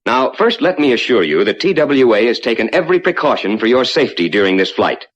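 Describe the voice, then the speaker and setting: An actor playing a calm, reassuring rocket ship captain who explains the flight to passengers in measured, courteous 1950s ride narration. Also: male voice, mid-range voice, muffled recording. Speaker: spaceship captain and tour narrator. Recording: noisy vintage 1950s ride soundtrack.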